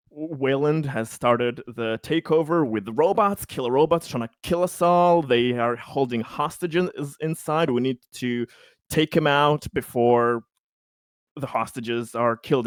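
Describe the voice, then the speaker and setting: A man's voice: mid-range voice, a little slow, no room sound, clean studio sound. A man talking casually as a player in a tabletop roleplaying game.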